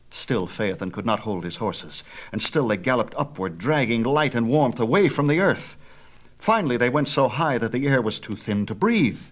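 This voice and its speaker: A man narrating a myth as an audiobook storyteller, with a theatrical, measured and emphatic delivery. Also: on the low side.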